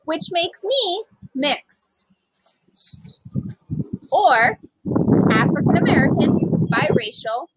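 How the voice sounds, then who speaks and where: A woman's voice: very high voice, very animated, slow, long pauses, low-quality recording. A woman reading aloud to young children as a storytime reader, speaking to them directly in simple, child-directed phrasing.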